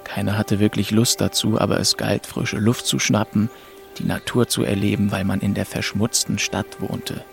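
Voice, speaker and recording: Sad young man telling his story, low croaky voice, radio play w music under it. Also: level tone, normal speed. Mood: wistful, brooding. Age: young adult. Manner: introspective, wistful. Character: melancholy young French man, orphaned, narrating his road trip. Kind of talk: first-person radio play narration and dialogue. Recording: music behind the voice.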